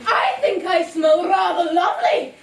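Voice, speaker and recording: A woman's voice: very high voice, unhurried. A woman voicing a vain, genteel lady mushroom in a puppet show, with affected, theatrical airs. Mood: offended, proud. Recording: roomy, distant live bootleg, faint noise.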